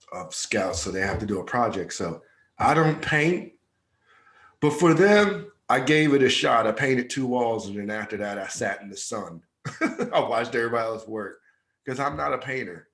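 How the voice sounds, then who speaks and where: A man's voice: lowish voice, fairly bright tone. A man with a stuffed-up, congested voice, telling stories in a laid-back, slangy way as a podcast co-host. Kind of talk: casual chat.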